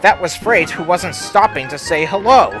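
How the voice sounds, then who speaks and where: A man reading a scripted children's story as narrator, expressive, with deadpan understatement. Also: high-pitched, normal speed. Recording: music under the voice. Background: music.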